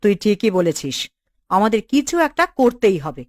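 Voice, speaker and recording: A woman's voice: mid-range voice, sing-song, normal speed, a little mellow, dry recording, clean studio sound, close to an everyday voice. An actress playing a bold, bossy village woman in a cartoon, assertive and scolding. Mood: scheming, stern. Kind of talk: Bengali cartoon dialogue.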